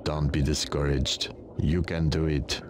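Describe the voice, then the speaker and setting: A man voicing a solemn, wise guide in a cartoon game, formal, measured and instructive. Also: extremely low pitch, flat delivery, background noise. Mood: encouraging, solemn. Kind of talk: game tutorial voice acting.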